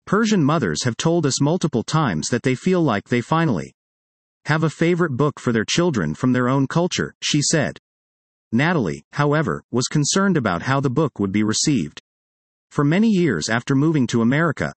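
A man narrating a magazine article aloud, with an expressive read-aloud delivery. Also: mid-range voice, no echo.

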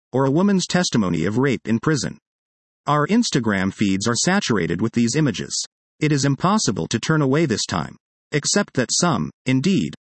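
A man's voice: medium pitch, dry recording. A man reading a written article aloud as scripted narration.

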